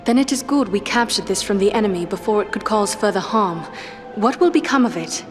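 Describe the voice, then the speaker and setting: A woman's voice: mid-range voice, animated, a little fast, natural voice. Elf woman adventurer, female actor for fantasy game: earnest, poised, mid-range voice, formal phrasing over faint music. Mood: questioning. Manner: formal, composed, inquiring. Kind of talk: fantasy game dialogue acting.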